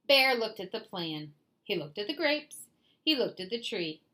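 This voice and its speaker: A bright-voiced woman tells a children's story with very animated pitch. She reads slowly and theatrically, like a picture-book read-aloud for young listeners. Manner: theatrical, deliberate.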